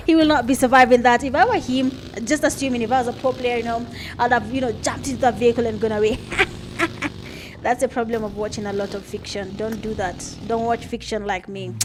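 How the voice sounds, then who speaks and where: A woman's voice: fairly high pitch, a little hiss or hum. A young Kenyan woman doing chatty live play-by-play commentary for an esports match, with plenty of filler words. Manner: chatty, laughs at herself, frequent uh fillers. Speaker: esports caster.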